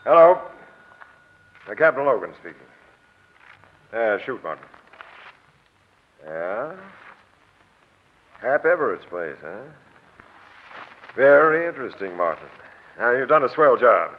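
A tinny old radio broadcast carries a gruff police captain. He is commanding and sly, firing off sarcastic slang at a middling pitch in 1940s crime drama style. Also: very animated, slow pace, long pauses, heavily acted. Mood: brusque, skeptical. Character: brusque, skeptical, sly male police captain.

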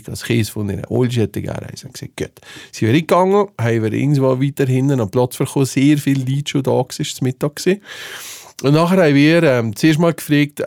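A man with a Swiss accent telling a casual anecdote in conversation, slangy and animated, acting out what people said. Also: medium pitch, dry recording, studio quality. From Switzerland. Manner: animated storytelling with slangy swearing and acted-out quotes. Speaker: podcast host telling a personal story.

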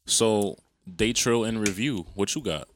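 A man's voice: lowish voice, unhurried, a little hiss or hum. A man co-hosting a podcast in casual chat, telling stories with slang and swearing. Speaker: podcast co-host.

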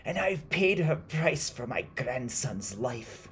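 Man voicing a whispering, raspy, eerie old woman. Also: medium pitch, lively intonation, sharp tone, voice pushed up. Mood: desperate. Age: older. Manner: slow, ominous, breathy. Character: frail, eerie old village woman, haunted and grim.

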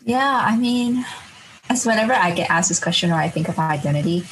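A woman's voice: fairly low pitch, expressive, a little fast, a little hiss or hum, low-quality recording. A young woman from Singapore talking reflectively as a podcast guest, chatty, with lots of filler words and frequent laughter. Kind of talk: reflective conversational storytelling.

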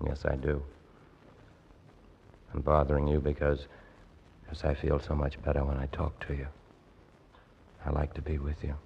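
A man's voice: very deep voice, completely flat delivery, normal speed, gravelly, long pauses, dull audio, a little hiss or hum. An actor playing an earnest, self-doubting young man in an old-time radio drama, with a hesitant, stammering delivery. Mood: troubled, confiding. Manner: hesitant, stammering, repeating words. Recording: old radio recording, faint music behind.

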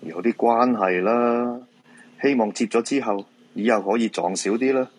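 A man's voice: mid-range voice, moderate pace, close to an everyday voice. A man with a Hong Kong Cantonese accent playing a weary middle-aged feng shui master and father in a radio drama, resigned and down-to-earth. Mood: weary, uneasy.

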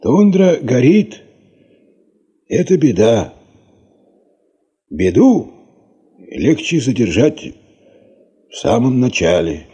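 An actor in a radio play playing a kindly, anxious old grandfather who speaks in halting short phrases. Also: big pitch swings, heavily acted. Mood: gentle, earnest. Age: older. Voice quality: husky, soft, low. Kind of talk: Russian radio play acting.

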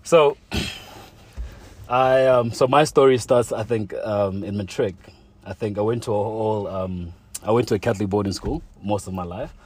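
Low-voiced young man chatting casually. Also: moderate pace, slightly rough. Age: young adult. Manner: relaxed slangy talk full of "like" and "you know". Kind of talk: casual chat, telling a personal story.

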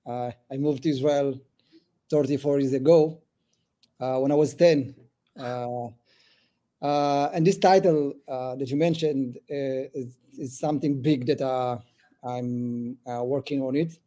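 A man in his forties from Ethiopia, speaking as a guest in a podcast interview, pausing often with "uh" between phrases. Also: mid-range voice, fairly even intonation, mellow. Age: 40s. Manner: halting, many "uh" fillers. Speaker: government official, guest.

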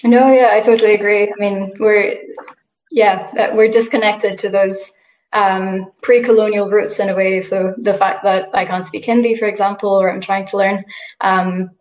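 A woman's voice: mid-range voice, fairly even intonation, normal speed, a little mellow, reverberant, poor audio. A woman of French and Indian background speaking earnestly and reflectively as a co-presenter in an academic seminar discussion. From France and India.

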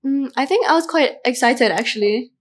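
A woman's voice: high voice, expressive, brisk. A teenage woman from Malaysia answering interview questions as a student guest, thoughtful and hesitant, with frequent fillers. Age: late teens.

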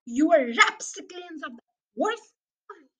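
A pompous, indignant gentleman from a storybook, as acted out by a child. The voice is very high and bright, swinging up and down as he sputters and shouts. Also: wildly swooping pitch, fairly quick, far from the mic, heavily acted. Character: furious, blustering pompous wealthy man.

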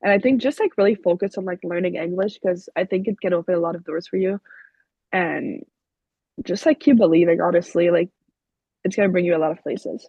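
A woman's voice: fairly low pitch, brisk, dark tone, husky, no echo. A young woman from Quebec, a native French speaker with a Québécois accent, answering interview questions casually and saying "like" constantly. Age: young adult. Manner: leans heavily on "like" as a filler. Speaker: college hockey player guest. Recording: dull lo-fi sound.

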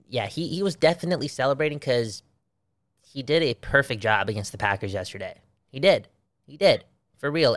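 Animated, swearing man on a dry mic. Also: lowish voice, no echo. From Belgium. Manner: animated, swearing, repeats phrases. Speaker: sports podcast co-host. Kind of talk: casual sports chat.

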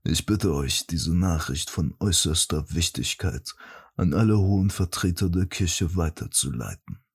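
Pompous fantasy high priest played by a man, very low, raspy, grave and unhurried. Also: expressive, exaggerated voice. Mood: solemn warning. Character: solemn, righteous archmage priest reading his letter aloud. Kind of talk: fantasy audiobook, scripted dramatic monologue.

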